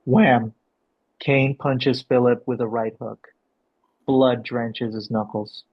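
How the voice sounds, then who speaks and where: Gravelly, husky man directing a read. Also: medium pitch, fairly even intonation, slow pace. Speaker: director reading stage directions. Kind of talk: explanatory coaching and script narration. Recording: dull, lo-fi sound.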